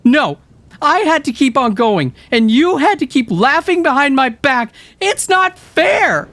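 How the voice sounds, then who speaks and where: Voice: high-pitched, big pitch swings, unhurried, a bit bright, dry recording, voice pushed up, full character voice. A man voicing a furious teenage girl who screams in a manic, tearful rage. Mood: furious screaming.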